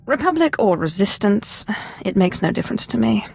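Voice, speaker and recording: A woman's voice: medium pitch, sing-song, moderate pace, vocal fry, husky, exaggerated voice. A woman playing a cool, dry-witted alien Sith apprentice in a video game, with controlled delivery. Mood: cool, wry. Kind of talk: video game cutscene acting.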